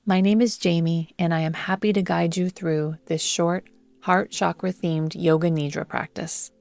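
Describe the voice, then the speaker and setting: A woman guiding a yoga nidra meditation in a soothing, measured manner, leaving long pauses between phrases. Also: on the low side, steady tone, vocal fry, a little mellow, no room sound. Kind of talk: guided meditation narration. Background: background music.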